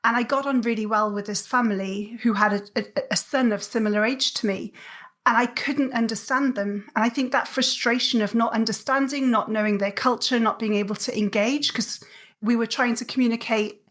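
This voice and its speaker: A woman's voice: on the high side, steady tone, brisk, a bit bright. A woman from South Wales with a Welsh accent, a podcast guest thoughtfully telling her life story. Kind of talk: reflective interview storytelling.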